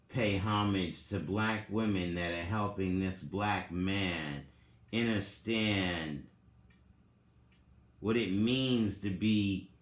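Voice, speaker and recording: A man hosting a dramatic reading and reflective commentary, speaking in a deliberate, emphatic way with pointed emphasis. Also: on the low side. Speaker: host giving a reading and commentary. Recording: roomy, echoing sound.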